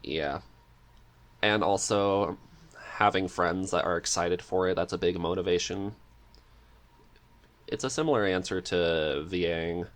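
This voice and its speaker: A man with a low-pitched voice talks in a casual podcast conversation. He comes across as chatty and eager, like a guest happy to share. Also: a little slow, long pauses, a bit bright.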